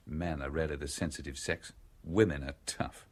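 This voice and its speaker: An actor in a radio drama playing a cool, wry, persuasive man who speaks with deliberate irony in a husky voice. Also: extremely low pitch, fairly even intonation.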